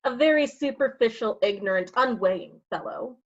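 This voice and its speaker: A woman acting a bawdy, slanderous gentleman rogue in a staged Shakespeare reading, with a cheeky, insinuating, theatrical delivery. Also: on the high side, animated, moderate pace, dull audio. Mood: conspiratorial, slanderous.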